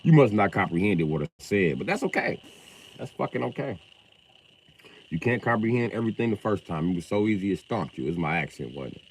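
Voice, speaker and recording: A man chatting casually on a livestream, loud and profane, with an animated delivery. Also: on the low side, fairly quick, slight background noise.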